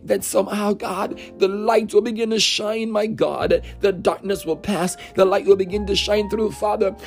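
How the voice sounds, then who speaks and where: A man's voice: high voice, fairly even intonation, moderate pace, creaky, faint music behind. A man leading a fervent spoken prayer, pleading and repeating phrases, at times half-singing and speaking in tongues. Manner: impassioned, repetitive, half-sung intercession with tongues. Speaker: prayer leader.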